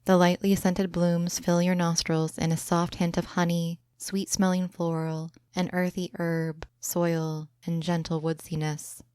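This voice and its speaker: A woman reads a guided imagery meditation in a slow, soft, soothing way. Her voice sits low and even, smooth and clear. The recording is close and dry, with no room sound. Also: no room sound. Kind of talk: scripted guided imagery narration.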